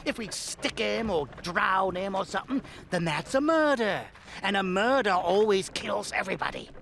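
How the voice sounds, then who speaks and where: An actor playing a callous, scheming leader of looters in a horror audio drama, scornful and domineering. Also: male voice, high voice, lively intonation, moderate pace, creaky, fairly bright tone, slight background noise, heavily acted. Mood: exasperated. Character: callous scheming looter boss, impatient and bullying.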